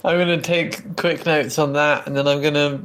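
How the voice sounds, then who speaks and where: A man's voice: on the high side, level tone, moderate pace, very noisy. A man playing a tabletop role-playing game, chatting casually and informally as he thinks aloud.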